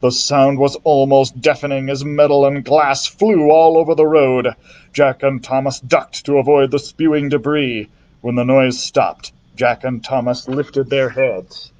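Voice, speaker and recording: A male librarian reading a children's adventure book aloud in a steady storytelling manner, with the odd slip. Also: mid-range voice, unhurried. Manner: steady storytelling narration with small slips.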